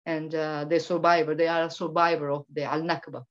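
Woman, from Venezuela, emphatic, halting, lo-fi dull recording. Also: low voice, flat delivery. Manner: halting, many restarts and repetitions, emphatic. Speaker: guest, political researcher. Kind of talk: impassioned interview talk. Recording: dull lo-fi sound.

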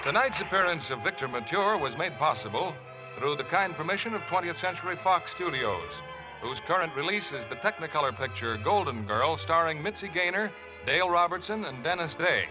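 Animated American radio announcer, man, 1950s. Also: on the high side, a little fast, poor audio. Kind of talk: scripted 1950s radio announcing and sponsor copy. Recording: vintage 1950s radio broadcast with music. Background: music.

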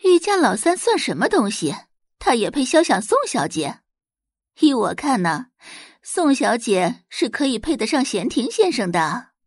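A woman's voice: on the high side, sing-song, a little slow, dry recording, clean studio sound, voice pushed up. 女人演的 haughty, scornful society lady. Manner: haughty, dismissive.

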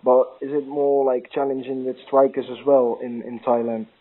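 A man interviewing a guest, asking halting questions with many repeated words and restarts. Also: mid-range voice, level tone. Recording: tinny phone-line audio.

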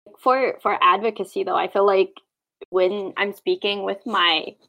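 A woman's voice: medium pitch, fairly even intonation, measured pace, vocal fry, fairly bright tone, poor audio. A young woman in casual podcast chat, thoughtful and full of "like" fillers.

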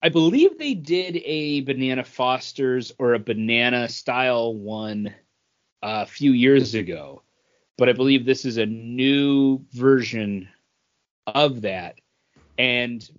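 A man chatting casually as a podcast co-host. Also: medium pitch. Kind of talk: casual chat. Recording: dull lo-fi audio.

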